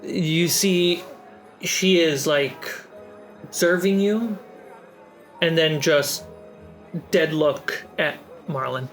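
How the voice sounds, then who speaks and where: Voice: medium pitch, over music, poor audio. A game master who narrates a tabletop game scene and calls for dice rolls. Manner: slow, laughs often between lines.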